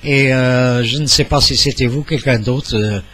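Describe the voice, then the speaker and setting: An older man at a studio microphone in a small radio room, making a courteous amateur radio call. He speaks formally and haltingly, at a medium pitch. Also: a bit bright, slightly rough. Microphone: studio mic on boom arm, headset.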